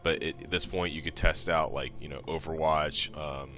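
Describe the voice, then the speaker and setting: Very deep-voiced man giving casual tutorial. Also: steady tone, moderate pace, bright, forceful tone, soft background music. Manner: informal, offhand step-by-step explaining. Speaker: modding tutorial presenter. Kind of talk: casual screen-recorded software walkthrough.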